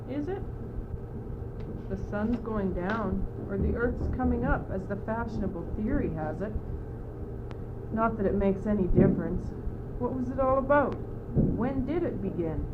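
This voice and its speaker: A teenage female student acting a bewildered, wearily defiant young courtier in an amateur radio play, in an expressive theatrical way. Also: on the high side, measured pace. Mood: plaintive. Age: teens. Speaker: student actor. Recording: muffled, noisy old amateur recording.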